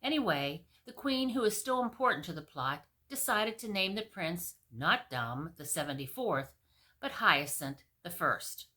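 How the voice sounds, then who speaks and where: A woman reading a comic fairy tale aloud as a storyteller, with expressive delivery and playful asides. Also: fairly low pitch, a little slow.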